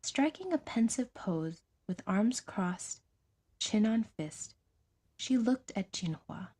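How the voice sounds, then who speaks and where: A woman narrates scripted fiction for an audio drama. Her delivery is slow and her tone is mellow, with a husky grain to it. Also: medium pitch, animated.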